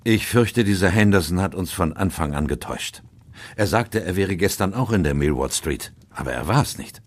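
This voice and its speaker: A man acting an agitated, loyal doctor in a German radio play, urgent and demanding, with a husky voice. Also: deep voice, animated, normal speed. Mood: worried, indignant. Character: agitated, loyal doctor, male friend of a detective.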